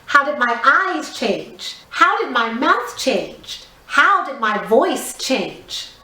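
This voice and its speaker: A high-voiced woman leads young children through a drama lesson. Her delivery is slow and very melodic, with carefully enunciated, expressive instructions. The room adds a noticeable echo. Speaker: children's theatre teacher. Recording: echoey room.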